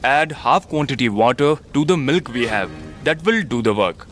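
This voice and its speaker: A man's voice: mid-range voice, expressive, normal speed, fairly bright tone, soft background music, lightly acted. A man playing a miserly, cheating village shopkeeper who bosses people around in a children's cartoon. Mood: bossy. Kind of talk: animated children's story acting.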